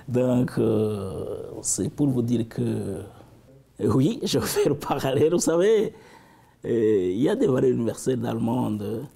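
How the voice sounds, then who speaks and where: A man from the region, answering interview questions thoughtfully, with halting restarts. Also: medium pitch, lively intonation, vocal fry, husky. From African Great Lakes region. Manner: thoughtful, halting, with frequent restarts and warm asides.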